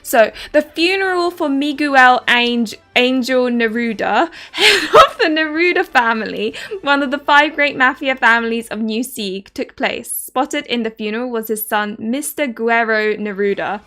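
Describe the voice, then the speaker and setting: English gal streaming a game, giggles a lot, stumbles on names, bright voice, soft game music under. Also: female voice, fairly high pitch, moderate pace, hardly any pauses. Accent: southern English. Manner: giggly, laughs often, stumbles over foreign names. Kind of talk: casual livestream game commentary, reading game text aloud. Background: faint game music.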